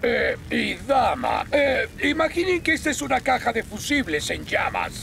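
A pompous older fire chief in a cartoon. His gravelly voice sits very high and leaps about as he barks orders. Music plays behind him. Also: wildly swooping pitch, normal speed, full character voice. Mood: commanding. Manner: pompous, exclamatory. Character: blustery, bossy older male fire chief. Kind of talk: dubbed children's cartoon acting.

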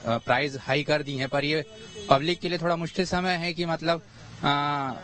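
A young man shopping at an outdoor market, giving a measured opinion into a reporter's handheld mic with hesitant pauses. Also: on the high side, fairly bright tone, slight background noise, low-quality recording. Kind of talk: vox pop answer.

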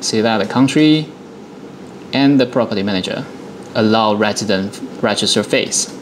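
A man in his thirties presenting a software demonstration, explaining things step by step in a dialogue. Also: fairly low pitch, moderate pace, slight background noise, low-quality recording. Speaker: product presenter.

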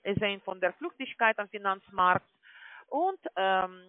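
A woman with a non-native German accent gives an explanatory phone sales pitch as a call-centre agent, often adding tag questions to confirm. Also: expressive, a little slow, no echo. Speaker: call-centre agent selling online trading. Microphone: telephone call. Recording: tinny, band-limited phone line.

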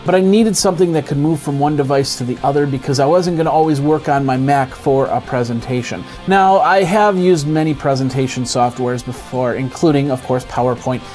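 A man in his forties presents a software walkthrough in an informal, conversational style. His voice sits at a medium pitch. He explains each step casually, recorded on a lapel mic indoors with faint music. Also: brisk, hardly any pauses. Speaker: host of an app review web show. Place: small indoor home studio.

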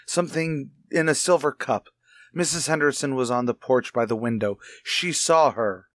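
An actor playing an anxious husband in a radio mystery, halting and earnest as he confides in a friend. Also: medium pitch, a bit bright, dry recording, close to an everyday voice. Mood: anxious, pleading. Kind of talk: radio mystery drama acting.

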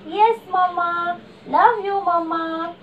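A woman's voice: very high voice, steady tone, slow pace, muffled recording, voice pushed up. A woman voicing a hungry, confused little girl, whiny and wondering. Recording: distant room mic.

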